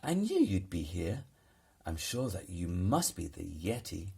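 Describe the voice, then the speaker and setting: A man voicing an eager, polite young boy adventurer in a children's storybook, with an excitable manner. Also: deep voice, a little slow, creaky, husky, full character voice. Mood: excited. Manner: excitable, exclaiming.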